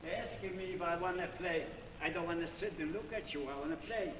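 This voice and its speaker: An older man with a Polish accent and a high voice works a live charity auction. His phrasing is halting and teasing, full of jovial banter. He is picked up from a distance in a noisy, reverberant hall. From Poland. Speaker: musician running a charity auction. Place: large indoor hall at a live event. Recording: echoey hall, distant mic, clear room noise.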